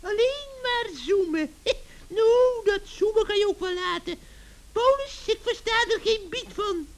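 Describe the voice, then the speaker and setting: Wicked old forest witch for children's radio play, performed by a man: piercingly high, unhurried, gleefully taunting, cackling. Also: a little hiss or hum. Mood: mocking delight. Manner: cackling, theatrical, mock-sweet taunting.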